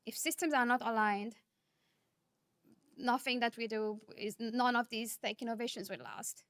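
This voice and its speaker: A woman from Nepal, giving a conference keynote speech in a measured, earnest manner, often posing rhetorical questions. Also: fairly high pitch, a bit bright, no echo. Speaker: keynote speaker.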